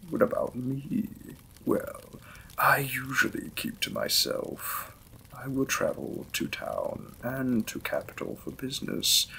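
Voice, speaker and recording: A courteous vampire lord and gentle new husband from a Victorian romance audio drama, played by a man. He speaks slowly and formally, with a crisp, bright edge and a creaky fry in his voice. His manner is polite and reserved, and there is clear noise behind the recording. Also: mid-range voice, exaggerated voice, rough recording. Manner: formal, polite, unhurried phrasing.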